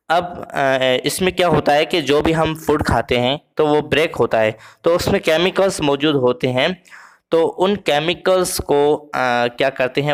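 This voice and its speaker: Slow, halting male biology teacher. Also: on the high side. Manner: slow, halting, many fillers, repeats points in simple terms; reads English lines slowly, then explains them. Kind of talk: biology lesson explanation.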